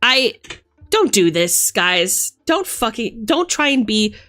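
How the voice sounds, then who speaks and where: A woman's voice: a little high, very animated, slow pace, pushed and bright. A woman streamer and game master delivering a casual, opinionated rant, emphatic, theatrical and sweary, close on the microphone. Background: music.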